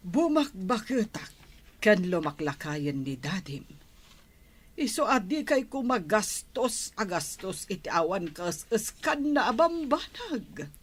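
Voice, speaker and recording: A nagging village mother in an Ilocano radio drama, played by an actress. She scolds in a slow, drawn-out way, her mid-pitched voice swinging widely up and down. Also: sing-song, breathy edge, exaggerated voice. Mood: scolding. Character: nagging, disapproving village mother of grown children.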